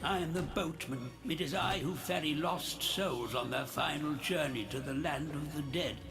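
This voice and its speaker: A man playing a chatty, world-weary undead ferryman of lost souls in a video game, with a gravelly, theatrical delivery. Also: a little high, normal speed. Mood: grandly solemn. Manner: theatrical greeting sliding into chummy grumbling. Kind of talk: video game character acting. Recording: loud music behind the voice.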